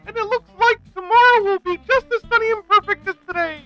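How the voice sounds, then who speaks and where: A performer playing a grinning, smug TV good-news anchorman in an animated film, sunny and upbeat. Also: very high-pitched, fairly quick, soft background music, low-quality recording. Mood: smugly cheerful.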